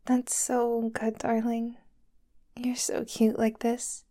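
Woman voicing a sweet creepy captor gf, whispery and mellow, talks real slow, kinda higher pitched. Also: completely flat delivery. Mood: soothing. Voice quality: soft and whispery. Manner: sweet, clingy, coaxing. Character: doting possessive young woman holding a lover captive. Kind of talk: roleplay audio drama to the listener.

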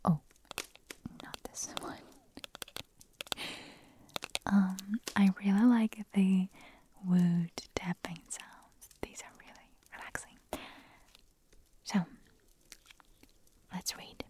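A woman whispering in an ASMR audio, her voice hoarse and raspy as she nearly loses it, giggling and making chatty, self-deprecating asides. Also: mid-range voice, fairly even intonation, very slow, long pauses, noisy background. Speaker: ASMR creator.

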